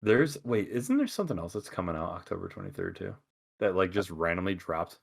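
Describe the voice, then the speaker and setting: Podcast co-host, male, deep and expressive, rambling and excitable. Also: a little fast, creaky. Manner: animated, rambling, with many restarts. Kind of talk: casual gaming chat.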